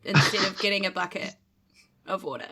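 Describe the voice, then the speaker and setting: A woman's voice: medium pitch, a little fast, creaky, sharp tone, slightly rough. A woman, chatting and bantering casually as a player on a podcast. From New Zealand.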